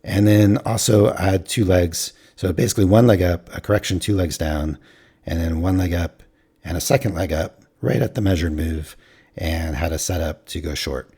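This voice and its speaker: Man, very deep and breathy, going hoarse; rambling desk-mic trade recap. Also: normal speed, warm tone. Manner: rambling, many fillers and restarts. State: losing his voice, clearing throat. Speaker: futures trader reviewing his trades. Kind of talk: casual screen-recorded trade review.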